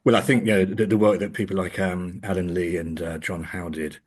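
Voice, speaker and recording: Male academic interviewee: very low register, slightly rough husky tone, halting, word-repeating delivery. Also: flat delivery. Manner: halting, repeats words as he thinks. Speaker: academic and author being interviewed. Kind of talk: interview discussion of film adaptations.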